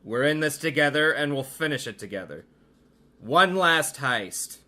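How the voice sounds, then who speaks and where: A man playing a sharp-voiced scheming villain doctor. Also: a little high, animated, a little slow. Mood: scornful, commanding. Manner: theatrical, menacing, commanding.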